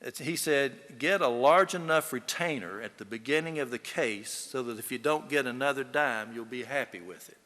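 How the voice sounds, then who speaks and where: A man delivers a eulogy in a big, echoing hall. His voice is bright and forceful, and he tells folksy stories with emphasis, speaking straight to the people gathered. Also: medium pitch, moderate pace, few pauses. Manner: folksy, storytelling, emphatic, addressing the audience. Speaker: eulogy speaker, fellow lawyer. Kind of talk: eulogy speech with folksy anecdotes. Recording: echoey hall with long reverb.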